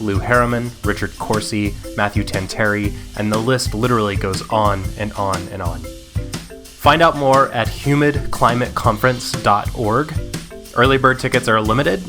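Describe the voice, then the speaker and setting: A man reading a scripted promotional announcement in an upbeat, salesy way. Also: fairly low pitch. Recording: music bed under voice.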